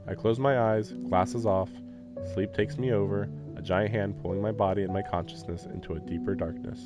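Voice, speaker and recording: Lower-pitched male streamer reading and commenting. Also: steady tone, moderate pace. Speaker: live streamer. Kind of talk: reading a visual novel aloud with casual commentary. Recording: faint game music behind the voice. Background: game soundtrack.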